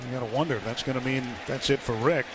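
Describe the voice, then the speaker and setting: A man doing live radio play-by-play baseball commentary in measured, short phrases with pauses, as the announcer. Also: medium pitch. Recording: clear stadium noise behind. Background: outdoor ballpark ambience.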